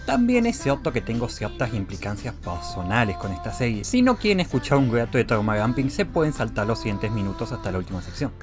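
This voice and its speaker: A man's voice: quick pace, hardly any pauses. A young man from Argentina with a speech impediment that drops one sound, narrating a scripted video essay. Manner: lively, expressive delivery with wide pitch swings. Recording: faint music underneath.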